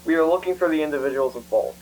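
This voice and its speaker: A man voicing a calm official who reads an emergency broadcast message in a formal, matter-of-fact way. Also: on the high side, fast talker, slight background noise, close to an everyday voice, low-quality recording. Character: calm official reading police alert message. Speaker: emergency alert announcer.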